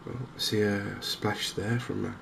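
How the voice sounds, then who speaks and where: A man giving softly spoken ASMR commentary on a game, hushed, hesitant and unhurried, close to the microphone. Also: fairly low pitch, flat delivery. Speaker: gaming video host. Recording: clear background noise.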